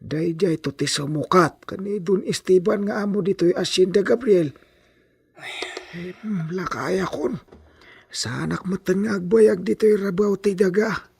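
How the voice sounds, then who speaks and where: A man acting a husky, creaky old ranch hand. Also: high voice, a little slow, darkish tone, dry recording, studio quality, exaggerated voice. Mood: wistful, fond. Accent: Ilocano. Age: older. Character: humble, hesitant old male ranch herdsman. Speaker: actor in a radio drama. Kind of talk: Ilocano radio drama acting.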